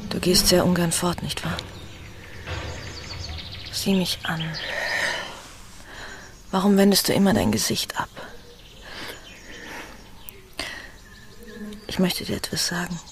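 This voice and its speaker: An actress playing a tender, anxious well-bred lady in a radio drama, speaking softly and earnestly. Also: fairly low pitch, animated, measured pace, pauses often, background noise. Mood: hushed, anxious.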